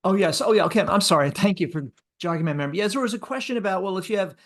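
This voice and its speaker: A man's voice: sits high, animated, rapid-fire, a bit bright. A man answering a question in a webinar, restarting and correcting himself often. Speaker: project presenter answering a question.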